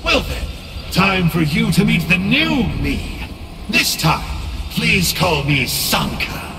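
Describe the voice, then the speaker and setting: A man's voice: high voice, big pitch swings, recorded from a distance, background noise, exaggerated voice. A man playing a slippery, smugly philosophical monster clerk in a video game, theatrical and musing, with an echoing effect on his voice. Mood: smug, teasing. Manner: theatrical, smug, drawn-out musing. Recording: echoey cavernous reverb, music behind.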